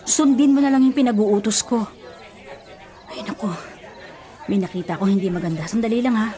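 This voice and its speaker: A woman playing a bossy, superstitious older sister in a radio drama, scolding, insistent and quick to defend herself. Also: a little high, mellow, some chatter behind, close to an everyday voice. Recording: prominent music behind the voice. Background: music.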